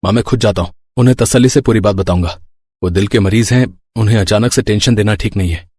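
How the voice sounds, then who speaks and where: A man playing an earnest, dutiful young husband who speaks firmly in an animated story. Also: lowish voice, quick pace, husky, no echo. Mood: concerned.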